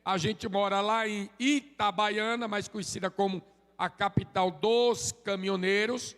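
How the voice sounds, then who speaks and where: A man, preaching a relaxed, joking sermon and prompting the congregation to answer. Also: sits high, measured pace, smooth, in a large hall. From Sergipe, Brazil. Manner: joking, chatty, drops "amém?" tags. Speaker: visiting preacher. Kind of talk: informal sermon with crowd call-and-response. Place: church sanctuary.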